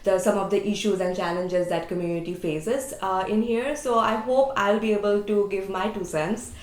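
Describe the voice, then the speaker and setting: A woman's voice: mid-range voice, steady tone, moderate pace. Chatty woman panelist in an echoey room. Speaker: panelist, marketing consultant. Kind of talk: panel discussion. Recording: echoey room, faint ambience.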